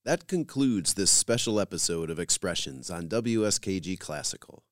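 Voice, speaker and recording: Low-voiced male program host, lively intonation. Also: moderate pace, no room sound, clean studio sound. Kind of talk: scripted presenter introductions for a classical radio program.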